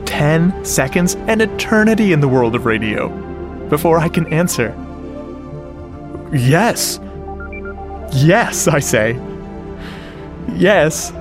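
A slow-talking, confiding lovestruck audio geek. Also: male voice, on the high side, sing-song, music in the background. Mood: stunned, eager. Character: lovestruck, self-doubting male audio geek telling his romance. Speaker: narrator and lead actor. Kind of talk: first-person radio drama narration.

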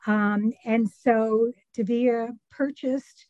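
Slow, mellow-voiced woman on muffled audio. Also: fairly high pitch, steady tone, no echo.

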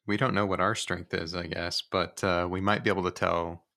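A man's voice: low-pitched, flat delivery, moderate pace, creaky, sharp tone. A man playing in a podcast escape room, thinking aloud hesitantly. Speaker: player. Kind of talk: casual game chat.

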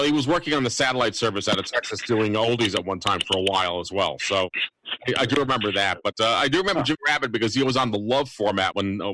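A man's voice: fairly low pitch, bright, forceful tone, no room sound, low-quality recording. A man hosting a casual call-in radio discussion, chatty and repeating phrases as he goes. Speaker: radio talk show host.

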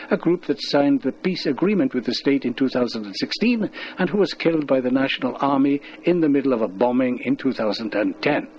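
A man's voice: mid-range voice, darkish tone, lo-fi sound, slight background noise. A man reading scripted news as a radio newsreader on a shortwave broadcast.

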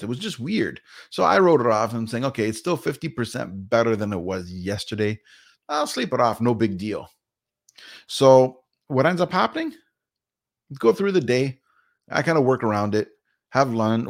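A middle-aged man from Canada telling a personal story in a casual, rambling vlog, full of fillers and restarts. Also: mid-range voice. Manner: rambling, chatty, many fillers. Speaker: vlogger.

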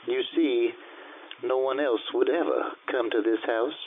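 A man playing a formal, knowing servant of a gloomy house in old-time radio drama, measured and deferential. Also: medium pitch, lightly acted. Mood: coolly ominous. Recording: tinny narrow-band radio recording, faint hiss.

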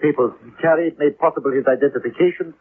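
An actor playing a methodical German police lieutenant with a German accent and stilted, deliberate phrasing in a 1950s radio drama. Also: male voice, on the high side, lightly acted. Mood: formal. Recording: old 1950s radio recording, muffled, faint noise.

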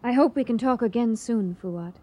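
An actress playing an eager, curious young daughter of an archaeologist, full of polite wonder, in a radio drama. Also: female voice, on the high side, normal speed, warm tone, lightly acted. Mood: gentle, friendly.

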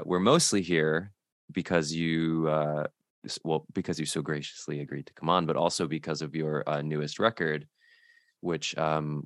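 A man's voice: very deep voice, no echo, studio quality. A man hosting a casual interview, asking rambling, hesitant questions with light laughs. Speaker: podcast host.

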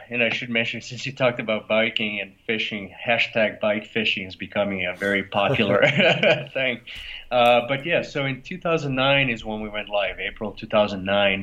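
A man's voice: mid-range voice, a bit bright. A man with a Brazilian accent, a company founder answering interview questions in an easygoing way, laughing often. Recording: dull lo-fi audio.

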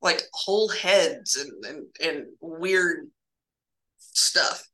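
Bright-voiced woman telling spooky work stories. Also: low-pitched, lively intonation, extremely slow, reverberant, rough recording. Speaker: guest telling stories of working in hospital laboratories. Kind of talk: casual spooky storytelling.

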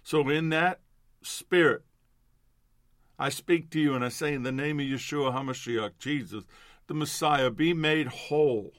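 A man's voice: on the high side, unhurried, a bit bright, no echo, clean studio sound. An older man from New York leading an earnest devotional prayer as a minister, his voice nasal from allergies. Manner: earnest, building to fervent declarations.

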